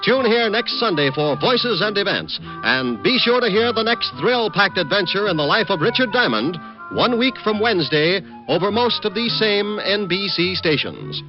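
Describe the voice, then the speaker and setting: A man announcing credits and schedule changes in the crisp, formal manner of a vintage network announcer. His voice is high and animated. Music plays under him, and the sound is dull like an old radio transcription. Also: normal speed. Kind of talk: broadcast announcement.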